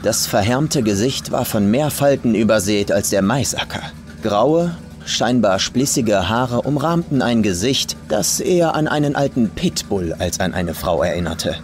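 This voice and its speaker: Guy reading horror audiobook, lowish voice, super expressive, bit of music under it. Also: a little slow. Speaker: audiobook narrator, professional voice actor. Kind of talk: dramatic scripted horror narration. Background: faint music and atmospheric sounds.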